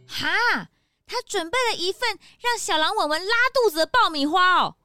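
A woman voicing an earnest, excitable young detective sidekick in a children's audio drama. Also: extremely high pitch, moderate pace, over music. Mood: excited.

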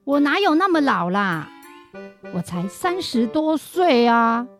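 A slow, fairly high-pitched elderly woman acted by a woman in a radio play, confused and easily indignant yet doting. Music plays with the voice. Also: very animated, full character voice. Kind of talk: radio drama acting.

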